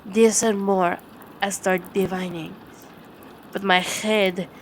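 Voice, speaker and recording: A woman reading dramatic poetry as a sleep-aid ASMR narration, in a measured, theatrical way with halting stumbles and repeated words. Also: medium pitch, steady tone, a little hiss or hum, low-quality recording.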